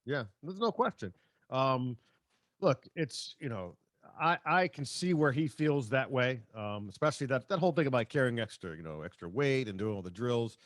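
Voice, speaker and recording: A man's voice: medium pitch, animated, normal speed, no echo. Man hosting sports talk, dry studio sound. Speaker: sports talk show host. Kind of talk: sports talk, commentary with quotes read aloud.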